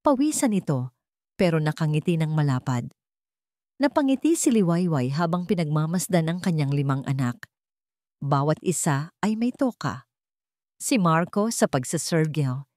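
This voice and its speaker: A woman's voice: fairly low pitch, darkish tone, no room sound, clean studio sound. A woman with a Filipino accent reading a scripted romantic drama story as its narrator.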